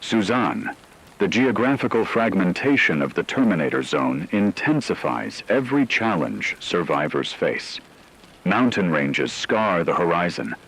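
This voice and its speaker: A man performs a grave radio disaster correspondent with a low, husky voice. He delivers formal, scripted narration in a solemn and measured way, as in a radio drama. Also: a bit bright, heavily acted, rough recording. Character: grave male radio correspondent reporting a global catastrophe.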